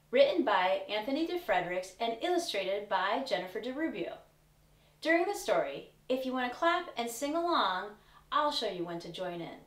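A woman in her forties reads a children's storybook aloud, speaking clearly and deliberately with an expressive storytelling delivery. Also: a little high. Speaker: teaching artist reading aloud to children.

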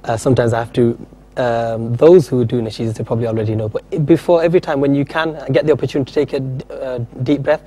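A man's voice: mid-range voice, steady tone, fast, few pauses, warm tone. A young man being interviewed as a singer on a TV studio set, speaking modestly and chatting easily into a handheld mic. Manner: modest, chatty, laughs off questions. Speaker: nasheed singer guest.

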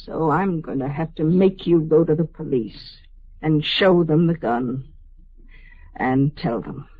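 A woman's voice: low-pitched, slow, breathy edge, no echo, heavily acted. An actress playing an anguished, resolute telepathic woman confronting her lover in a vintage American radio drama. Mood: stern resolve. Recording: dull, band-limited old radio recording.

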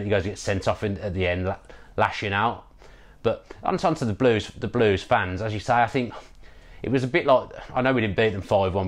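Fast-talking man, deep voice. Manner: animated, repeats words while thinking. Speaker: football podcast co-host. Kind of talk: casual post-match football chat. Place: home room, indoors. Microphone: video call with earphone mic.

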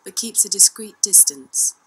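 A woman's voice: mid-range voice, lively intonation, normal speed, very noisy. A woman reading scripted documentary narration.

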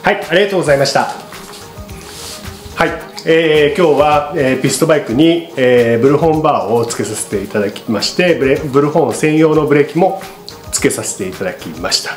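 A man's voice: a little high, expressive, quick pace. A middle-aged man politely explaining a product to camera in a casual, unscripted way, as a shop presenter. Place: small indoor studio with paper backdrop. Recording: roomy echo, music behind.

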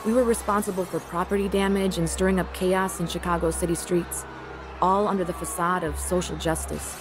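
A woman's voice: fairly low pitch, fairly even intonation, a little fast, close to an everyday voice. An actress playing a rebellious, angry young woman in a first-person radio drama, both narrating and acting. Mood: reflective. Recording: music bed behind the voice. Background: music.

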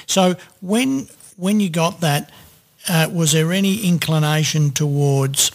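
A man's voice: fairly high pitch, unhurried. A middle-aged or older man with an Australian accent, hosting a casual interview. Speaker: podcast host, interviewer.

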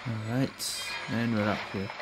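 A man's voice: on the low side, little pitch movement, measured pace, heavy background noise. A man giving casual commentary as a game streamer while playing. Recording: game music behind the voice.

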